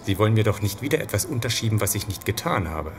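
An actor playing a defensive, irritable shopkeeper, snappy and indignant, in a horror radio play. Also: male voice, lowish voice, lively intonation, quick pace, soft background music. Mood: defensive, annoyed. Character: defensive, irritable male shopkeeper suspected of crimes. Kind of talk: German horror audio drama acting.

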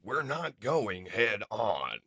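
A man's voice: lowish voice, big pitch swings, sharp tone, husky, heavily acted. A man as a solemn heroic robot leader. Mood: somber, reflective. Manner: solemn, measured. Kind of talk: dramatic comic dub acting.

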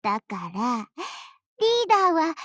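Haughty anime game girl, very high girlish voice, swooping intonation, pompous, bossy, easily flustered. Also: sing-song, measured pace, a bit bright, dry recording, studio quality, exaggerated voice. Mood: grumbling. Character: haughty young warship girl, self-styled charismatic fleet leader. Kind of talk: Japanese anime game character voice lines.

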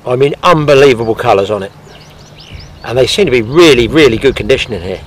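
A man's voice: medium pitch, lively intonation, fast, a little hiss or hum. Older man giving practical fishing tips. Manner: plain-spoken, practical, talks while working his hands. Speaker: fishing instructor. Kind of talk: outdoor angling demonstration. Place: grassy lake bank outdoors.

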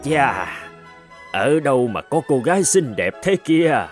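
A man voicing a lecherous, pompous rich village chief in an animated folk tale. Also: medium pitch, slow pace, soft background music. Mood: lustful, boastful.

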